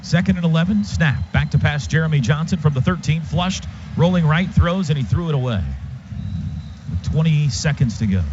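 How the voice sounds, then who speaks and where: An American man calls football live on the radio from a stadium booth. His voice sits a little high, and he moves between clipped calls and conversational asides. The sound is muffled, with clear noise behind him. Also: expressive, moderate pace. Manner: clipped phrases during play, conversational between. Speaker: radio play-by-play announcer. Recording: dull, noisy live stadium radio broadcast.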